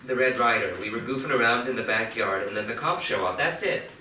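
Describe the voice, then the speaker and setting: A man's voice: medium pitch, brisk, bright, forceful tone, natural voice. A man in a staged play reading, playing a fifteen-year-old boy in trouble, hesitant, evasive and defensive. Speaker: actor. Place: theatre stage. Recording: echoey theatre, distant mic, faint noise.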